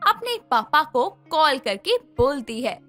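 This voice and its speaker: A woman narrating a cartoon story in a scripted, expressive way. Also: high voice, measured pace, faint music behind.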